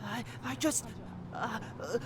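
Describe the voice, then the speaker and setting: Woman playing a high-pitched, edgy street mugger. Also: expressive, takes it slowly, noisy background. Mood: panicked. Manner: edgy, stammering. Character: nervy, threatening street mugger with a gun. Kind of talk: audio drama acting. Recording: music behind the voice.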